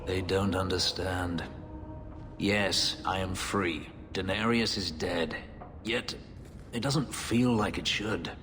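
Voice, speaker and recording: An actor playing a brooding, bitter elf warrior who was once a slave, speaking in a grave, measured way. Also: male voice, steady tone. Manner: low, grave, measured delivery. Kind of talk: video game voice acting. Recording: long reverb under game music.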